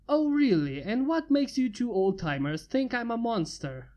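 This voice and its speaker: A woman's voice: fairly high pitch, big pitch swings, normal speed, warm tone. Woman doing cocky sarcastic inventor dude, lots of sass, bouncy voice. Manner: sarcastic, boastful. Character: smug arrogant human man, inventor.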